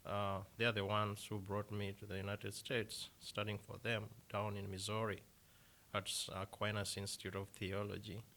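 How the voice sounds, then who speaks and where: A man with a Kenyan accent answers interview questions, his voice a bit low but bright and forceful. He talks haltingly, with many fillers and restarts. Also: completely flat delivery. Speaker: deacon, interview guest.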